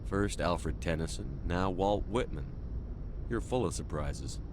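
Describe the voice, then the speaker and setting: A man playing a resolute, dry-witted military commander in a dramatic video game, with a measured delivery. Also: sits low, expressive, background noise. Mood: dry, intimate. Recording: loud music over the voice.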